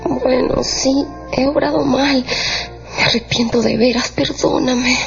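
Slow, creaky, seductive kept woman. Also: high voice, fairly even intonation, heavily acted. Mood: pleading. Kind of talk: radio drama acting. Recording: dull radio production with music underneath.

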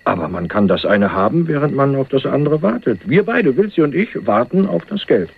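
Cocky young schemer in a radio thriller, husky, very lively pitch, tinny muffled sound. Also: male voice, fairly quick, full character voice. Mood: breezy, confident. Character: breezy, confident young male schemer. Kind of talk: German radio crime drama acting. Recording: muffled, phone-like 1980s radio recording.

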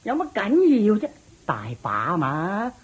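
A woman's voice: medium pitch, wildly swooping pitch, slow, breathy edge, exaggerated voice. A woman voicing a smug, wealthy male master of the house in a radio drama, chuckling and ordering his servants about. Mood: boastful. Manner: smug, self-satisfied, chuckling, commanding servants.

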